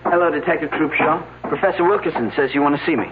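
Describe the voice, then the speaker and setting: A man's voice: on the high side, quick pace, noisy background, natural voice. A man playing an earnest, flustered young digger. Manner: earnest, stammering. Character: earnest young male dig worker, eager and a bit flustered. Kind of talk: 1970s radio drama acting. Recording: tinny old radio broadcast.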